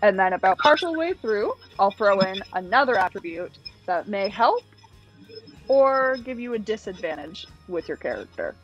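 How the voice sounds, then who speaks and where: A woman's voice: medium pitch, lively intonation, normal speed, highs cut off, soft background music. Young woman hosting a playful video call. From Canada. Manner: upbeat, laughs often. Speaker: host. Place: room at home.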